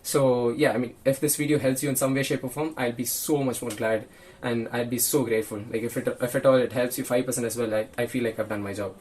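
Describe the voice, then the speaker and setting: A man's voice: medium pitch, fairly even intonation, quick pace, darkish tone, slight background noise, poor audio. A nineteen-year-old man talking earnestly and bluntly to the camera, often repeating and restarting his words. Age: young adult, 19. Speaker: video creator. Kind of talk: casual solo talk to camera.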